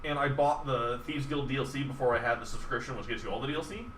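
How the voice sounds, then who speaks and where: A man's voice: medium pitch, steady tone, fast, fairly bright tone. A man chatting casually about video games as a podcast host, with an enthusiastic and casually profane manner. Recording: roomy, distant mic, faint noise.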